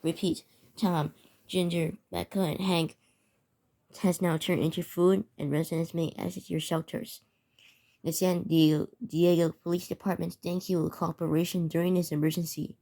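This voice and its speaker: A woman narrating amateur scripted emergency news bulletins in a halting way, often stumbling over and repeating words. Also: lowish voice, little pitch movement, vocal fry, warm tone. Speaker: narrator of a fictional emergency alert scenario.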